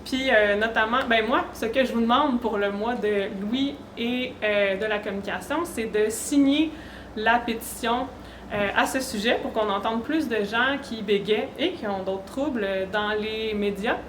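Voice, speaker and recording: A higher-pitched woman with a Québécois French accent speaks to an audience in a conversational way. The room rings around her and there is faint noise behind. It sounds like an informal panel presentation. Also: moderate pace. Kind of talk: informal awareness talk to an audience. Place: echoey room at a university event. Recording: roomy echo, faint background noise.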